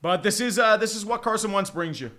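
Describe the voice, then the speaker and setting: A man's voice: high-pitched, expressive, a little fast, sharp tone. A man giving casual, profane live fan commentary on a football game, calling plays and rambling with exasperated restarts. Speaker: fan play-by-play streamer.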